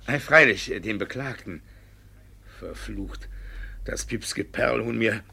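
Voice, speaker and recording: Scheming village judge: gravelly, sharp-edged, blustering, wheedling, vintage 1950s radio comedy style. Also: male voice, lowish voice, takes it slowly, heavily acted. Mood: evasive, flustered. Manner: wheedling and blustering, interjection-laden. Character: blustering, shifty middle-aged village judge, evasive and scheming. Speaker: actor in a radio play. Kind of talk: 1950s German radio drama acting. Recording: 1950s radio play recording.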